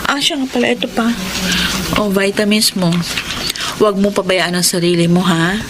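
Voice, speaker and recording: An actress playing a friendly, caring, affectionate older sister, a young adult in a radio drama. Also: female voice, medium pitch, steady tone, normal speed, natural voice. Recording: noisy radio drama recording.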